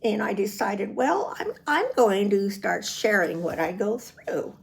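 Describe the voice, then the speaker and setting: A woman's voice: mid-range voice, animated, unhurried, gravelly. An American woman in her seventies talking casually in a personal video diary, chatty and rambling, prone to chuckling. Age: 70s. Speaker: vlogger.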